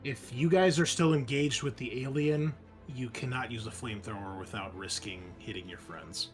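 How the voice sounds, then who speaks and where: A man's voice: medium pitch, normal speed, music in the background. A man game mastering a tabletop roleplaying game, explaining rules and narrating the action in casual talk.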